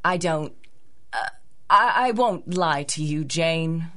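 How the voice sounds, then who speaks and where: Young manor heiress in mourning: polite, hesitant, earnest, lower pitch, old-time radio style. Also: female voice, animated, slow, pushed and bright, exaggerated voice. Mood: wistful. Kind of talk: 1930s-style radio drama acting.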